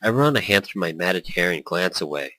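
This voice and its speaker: A man's voice: sits low, lively intonation, bright, forceful tone, no echo, poor audio. A man reading a visual novel aloud as brooding first-person narration, slipping into brief character voices. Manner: brooding, introspective, quick shifts into small character voices. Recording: faint background music.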